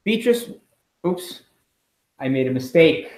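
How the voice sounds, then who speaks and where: A middle-aged man, a school principal, reading a storybook aloud expressively and pausing with chatty asides and questions for children. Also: on the high side, animated, darkish tone. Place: indoors at home. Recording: echoey room in a home.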